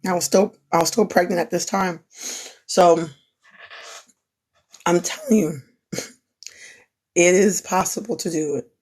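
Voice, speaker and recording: A woman's voice: fairly low pitch, takes it slowly, pauses often, a little mellow, husky. A young woman who is losing her voice gives an earnest, repetitive pep talk on a live stream. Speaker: small business owner, crafter. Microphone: phone.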